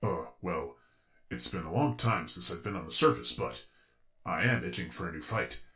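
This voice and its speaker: A man playing a gruff veteran soldier eager for a fight in a Minecraft roleplay. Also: deep voice, animated, normal speed, sharp tone, heavily acted. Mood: gruff, game. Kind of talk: hobby Minecraft roleplay acting.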